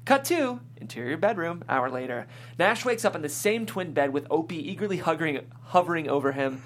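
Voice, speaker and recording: A man's voice: fairly high pitch, animated, fairly quick. A man reading stage directions as scripted narration at a comedy table read. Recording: clear background noise and hum.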